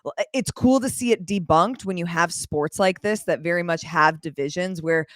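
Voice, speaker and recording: A woman's voice: fairly low pitch, normal speed, a bit bright, no echo. A woman hosting a casual interview, speaking in an encouraging, reflective way. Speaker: podcast host, interviewer.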